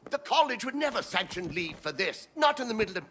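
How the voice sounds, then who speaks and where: An actor playing a fussy, genteel older British academic, concerned and expressive, in a cinematic action-adventure game. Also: male voice, high voice, fairly quick, a little hiss or hum. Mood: pleading.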